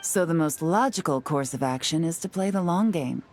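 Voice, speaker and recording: A cool, low-voiced investigator. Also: female voice, faint music behind. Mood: calm. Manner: calm, measured and explanatory. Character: shrewd composed female investigator and trade official. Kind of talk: video game cutscene voice acting.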